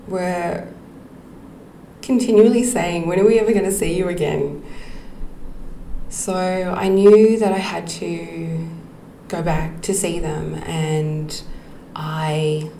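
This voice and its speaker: Woman podcast host, middle-aged, low gravelly voice, unhurried personal storytelling in an echoey room. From Australia. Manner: slow, reflective, with frequent ums and soft laughs. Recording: echoey room with faint background noise.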